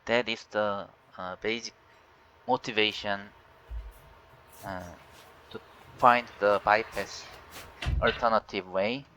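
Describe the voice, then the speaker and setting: Korean-native man hosting a tech vlog, slow, bright tone, halting with fillers, faint hiss under a dull mic. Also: on the low side, fairly even intonation. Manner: halting, many fillers and restarts. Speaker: tech channel host. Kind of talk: casual screen-recorded walkthrough.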